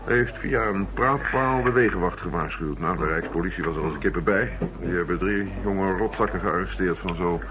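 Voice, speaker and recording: Man acting a low-voiced police detective, noisy recording. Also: normal speed, few pauses, lightly acted. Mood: matter-of-fact. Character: matter-of-fact, steady male police detective. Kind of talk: radio drama acting. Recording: muffled, noisy old radio recording.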